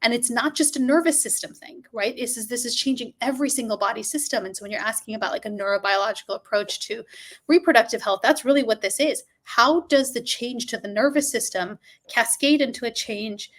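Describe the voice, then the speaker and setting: A middle-aged woman answering as a guest expert on a video call, earnest and measured, stressing key points. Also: a little high, fairly bright tone. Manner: earnest, measured, emphatic explaining. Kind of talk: explanatory interview answer. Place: home room indoors. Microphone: webcam on a video call.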